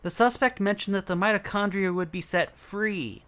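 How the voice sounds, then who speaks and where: A woman's voice: mid-range voice, normal speed, fairly bright tone, close to an everyday voice. A woman in a fan dub playing an earnest, inquisitive police officer with a businesslike way of questioning. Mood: businesslike curiosity. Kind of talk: fan dub voice acting of a video game scene.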